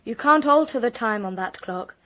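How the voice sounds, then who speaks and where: A woman playing an insistent, highly strung young witness in a radio detective drama, speaking emphatically. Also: expressive, normal speed, a bit bright. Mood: insistent, irritated. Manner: emphatic, stubborn.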